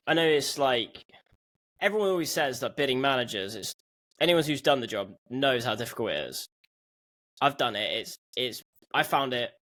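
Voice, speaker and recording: A man's voice: medium pitch, a bit bright. A young man hosting a podcast, asking questions in a loose, chatty way into a studio microphone. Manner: chatty, loose, says "like" a lot. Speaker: podcast host. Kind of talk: casual interview questions. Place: home room. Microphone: studio mic on a stand.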